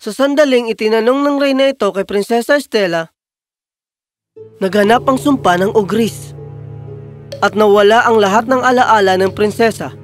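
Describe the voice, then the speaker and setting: A man with a high voice serves as narrator. He reads a scripted fairy tale, with soft music underneath. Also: normal speed, pauses often, no echo.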